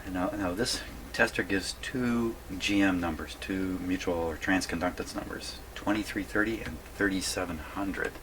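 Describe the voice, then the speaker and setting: An older man speaks in a low register over noticeable background noise. He talks casually, as if demonstrating at a workbench, thinking aloud as he goes. His delivery is folksy and rambling, with a self-deprecating streak. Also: steady tone, normal speed, hardly any pauses. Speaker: vintage radio repair hobbyist presenting a video. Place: indoor radio repair workshop.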